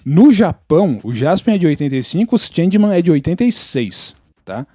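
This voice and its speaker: A man's voice: fairly high pitch, big pitch swings, brisk, dark tone, dry recording. A Brazilian man chatting casually on a podcast, explaining things in an opinionated, informal way. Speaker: podcast participant. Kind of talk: casual pop-culture chat.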